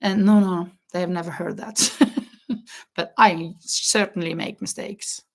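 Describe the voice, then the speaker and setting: A woman's voice: lowish voice, fairly even intonation, unhurried. A woman with a non-native English accent, hosting a casual crochet live stream and chatting with viewers. She talks loosely, laughs often and stops to search for words.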